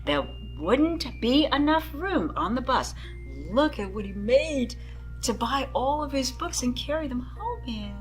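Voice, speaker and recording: A woman's voice: sits high, big pitch swings, measured pace, faint music behind. A woman reading a picture book aloud to children, expressively and with dramatic emphasis, addressing her young listeners. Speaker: children's story-time reader.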